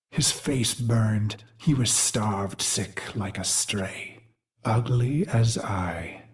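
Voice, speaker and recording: A man voicing a brooding warrior machine mind in a video game, recalling a brutal past in a grave, theatrical monologue with deliberate phrasing. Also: medium pitch, darkish tone. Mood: bitter, confessional. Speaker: game character narrator. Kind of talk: dramatic scripted game monologue.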